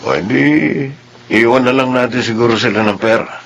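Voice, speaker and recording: A man's voice: mid-range voice, normal speed, gravelly, a bit bright, a little hiss or hum. A man acting a jovial, preoccupied father in a Filipino radio drama, hearty and boastful, with a Filipino accent. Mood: casual.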